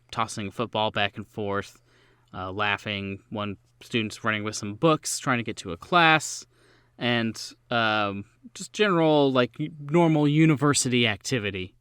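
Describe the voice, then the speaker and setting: A man improvising narration as game master of a tabletop game, speaking haltingly with frequent pauses. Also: medium pitch, a bit bright, clear, resonant tone, dry recording.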